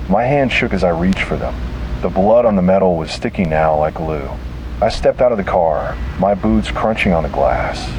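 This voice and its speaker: Really deep male voice telling a grim horror story, slow deliberate drama, noisy hissy tape sound. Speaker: narrator. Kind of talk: scripted first-person horror narration.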